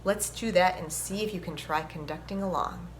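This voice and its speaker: A woman's voice: fairly low pitch, normal speed. Woman presenting for children, faint background noise. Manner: gentle, encouraging, instructive, addressing young children. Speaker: violinist presenting a children's story time. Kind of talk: picture book read-aloud and music lesson for children.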